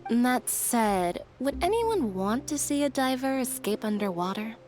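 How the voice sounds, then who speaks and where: A woman playing a calm, deadpan young magician's assistant, matter-of-fact and drily teasing, in an anime-style video game. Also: a little high, measured pace, soft background music.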